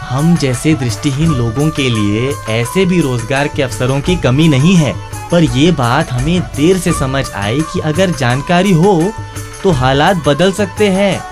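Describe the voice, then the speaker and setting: An upbeat village friend, acted by a man in a radio play, speaking slowly in rustic north Indian speech. He is folksy and encouraging, with music behind him. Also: a little high, close to an everyday voice. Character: cheerful, encouraging blind villager and family friend. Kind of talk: Hindi radio drama acting.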